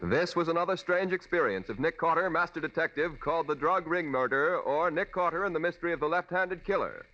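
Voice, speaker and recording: A man's voice: a little high, brisk, hardly any pauses, sharp tone, faint music behind. An American man working as a radio announcer, reading a script formally in 1940s broadcast style. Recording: dull, lo-fi 1940s radio recording.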